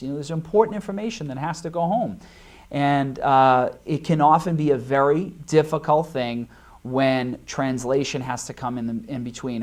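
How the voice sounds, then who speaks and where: Chatty Boston man hosting a local talk show. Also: a little high. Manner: chatty, many fillers and restarts. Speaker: host of local cable show.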